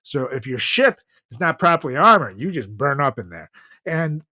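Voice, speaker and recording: Man with very animated pitch, chatting casually. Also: moderate pace. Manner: swears freely. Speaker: podcast host. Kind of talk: casual comedic podcast chat.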